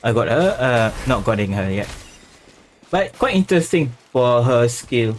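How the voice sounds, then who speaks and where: A man's voice: medium pitch, lively intonation, a little slow, a little hiss or hum. A man giving casual live commentary as he plays a game, muttering his reactions to himself. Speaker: game streamer.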